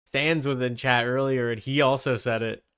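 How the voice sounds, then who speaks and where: Man, clean smooth voice, middling pitch, casual streamer chat, rambling, thinking aloud. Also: fairly even intonation, moderate pace, a bit bright. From Canada.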